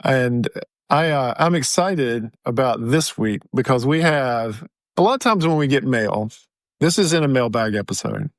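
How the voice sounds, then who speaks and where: A man's voice: medium pitch, expressive, normal speed, no room sound. A man co-hosting a podcast in good-humored, casual conversation. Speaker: podcast co-host. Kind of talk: casual chat.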